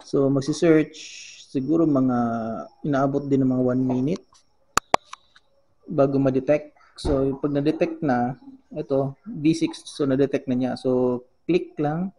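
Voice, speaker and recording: A man with a Filipino accent giving a casual how-to demonstration as a vlogger, halting as he searches for words and mixing two languages. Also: mid-range voice, fairly even intonation, dark tone, poor audio. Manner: halting, searching for words, switches between Tagalog and English. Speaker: vlogger giving a gadget tutorial.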